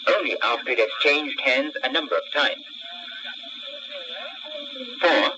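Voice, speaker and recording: Over a dull shortwave recording, a man reads the news like a formal proclamation. His pitch rises and falls emphatically, in the scripted declamatory style of 1960s propaganda radio. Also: noisy background. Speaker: radio newsreader.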